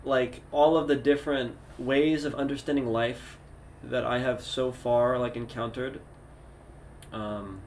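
A young man with a mid-pitched voice chats loosely on a podcast, teasing and laughing easily. He circles around his points with restarts, and a faint background hiss sits under him. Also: steady tone, a little slow, a little mellow. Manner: playful, laughs often, rambling restarts. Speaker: podcast co-host. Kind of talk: casual chat.

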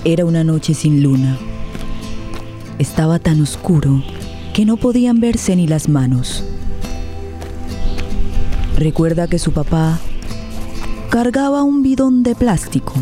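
A woman's voice: deep voice, big pitch swings, slow pace, long pauses. A woman reading dramatic scripted narration for a radio drama. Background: music.

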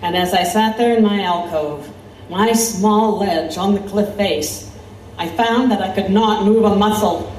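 A woman's voice: mid-range voice, unhurried, a little mellow, slight background noise, lightly acted. A woman playing an intrepid Victorian reporter, telling a horror adventure as dramatic scripted narration. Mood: tense recounting. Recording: echoey, distant, music underneath.

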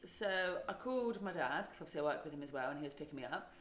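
A woman's voice: sits low, lively intonation, quick pace, roomy sound, slight background noise. A podcast co-host, a woman, chatting casually, laughing often and telling rambling stories. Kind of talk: casual chat.

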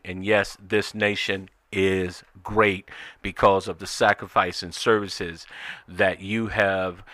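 A man's voice: lowish voice, sharp tone, no echo. A man hosting live talk radio in a conversational, folksy way with emphatic phrasing. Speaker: radio show host.

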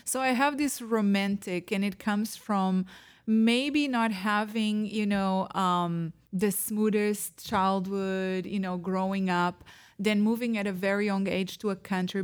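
A woman's voice: medium pitch, steady tone, a little slow, smooth. A woman with a Portuguese accent talks as a guest in a podcast interview. She is a native Portuguese speaker with a mid-range voice, speaking conversationally. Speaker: podcast guest, real estate agent.

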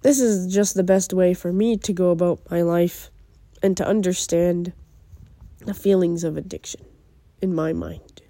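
A young woman with a stuffy cold, speaking in an earnest, halting way. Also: on the low side, steady tone, dark tone, no echo. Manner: earnest, halting, with fillers and restarts. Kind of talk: personal reflection and poetry reading.

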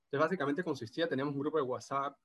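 Venezuelan gocho-accented man in his thirties, guest in a relaxed interview, hesitant delivery. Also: on the high side, racing, a little hiss or hum. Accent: Venezuelan Andean (gocho) Spanish. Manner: hesitant, many restarts and fillers. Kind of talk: casual video interview.

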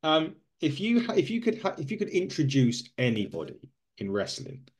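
A man's voice: a little high, very animated, moderate pace. A man hosting an interview podcast, friendly and joking with his guest. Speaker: podcast host. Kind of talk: casual interview chat.

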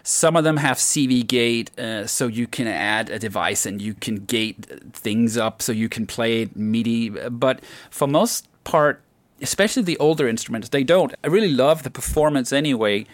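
Man, composer, deep voice, relaxed detailed answers, mid pitch, dry close sound. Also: moderate pace, no room sound. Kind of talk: interview answers.

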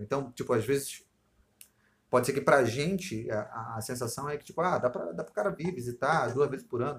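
A man from Brazil in casual podcast chat, asking questions and tagging his points with quick agreements. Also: mid-range voice. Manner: conversational, asks questions, backs up points with short agreements. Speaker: podcast co-host.

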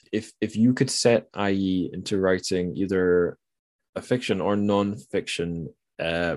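A man hosting a podcast in a casual, conversational style, informally thinking aloud as he goes. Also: low voice. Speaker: podcast host.

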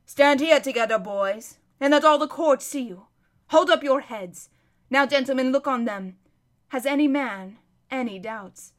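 A woman voicing a stern, gruff old king in a storybook, stately and archaic in manner. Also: sits high, lively intonation, normal speed, bright, forceful tone. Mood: proud, commanding. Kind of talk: storybook character voice.

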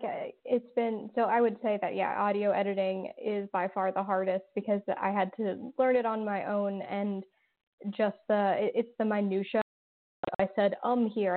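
A woman talking as an interview guest, circling her answers with plenty of fillers and restarts. Her voice sits at an ordinary middle pitch. It comes through a narrow, tinny phone-like line. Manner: rambling, many fillers and restarts. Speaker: podcast creator and guest.

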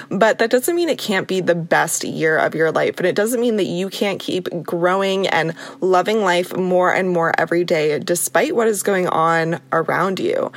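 Upbeat young woman podcast host, slightly raspy. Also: fairly low pitch, no echo. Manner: warm, encouraging, chatty with laughs. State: slightly raspy from a sore throat. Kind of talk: upbeat motivational podcast talk.

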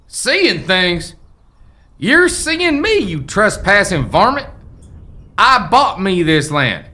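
A man acts out an ornery old American rancher's ghost for a radio play. He blusters in slow, folksy frontier talk. His bright, forceful voice swings widely as he lays claim to what is his. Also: high-pitched, wildly swooping pitch, heavily acted. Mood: blustering, folksy.

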